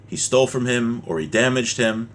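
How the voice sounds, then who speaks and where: A man's voice: mid-range voice, normal speed. A man giving an informal religious lesson as a teacher, explaining a text with everyday examples.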